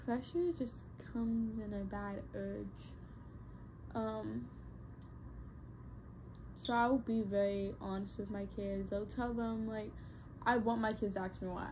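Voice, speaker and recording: A woman's voice: on the high side, dark tone, a little hiss or hum, rough recording. A young woman vlogger chatting casually to her viewers, rambling with lots of fillers and restarts. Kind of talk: casual talk to camera.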